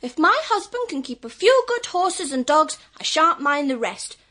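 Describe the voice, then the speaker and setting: A woman's voice: sits high, very animated, moderate pace, sharp tone, exaggerated voice. An actress playing a blunt, boisterous, unladylike Victorian teenage girl in a period audio drama. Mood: brash, defiant. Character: brash, coarse-tongued tomboy teenage girl of the gentry.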